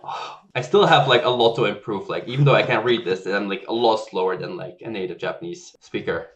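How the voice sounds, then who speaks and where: Low-voiced man from Belgium, dull audio. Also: brisk. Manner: relaxed, chatty, heavy use of "like". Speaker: software engineer guest. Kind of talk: casual conversational interview.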